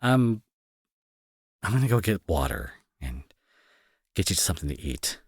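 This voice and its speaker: A man acting in an intimate audio roleplay as a gruff young bully who is secretly worried and protective, talking to the listener with sarcasm and exasperation. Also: low-pitched, sing-song, a little slow, no echo, clean studio sound, exaggerated voice. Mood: worried, guarded.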